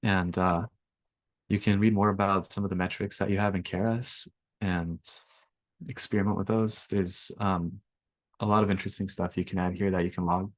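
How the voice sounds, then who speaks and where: A young man, slightly raspy from allergies, walking through a coding workshop in a relaxed way with lots of ums. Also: sits low, normal speed, no echo. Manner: relaxed, filler-heavy explaining. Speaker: workshop instructor. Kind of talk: screen-share coding tutorial.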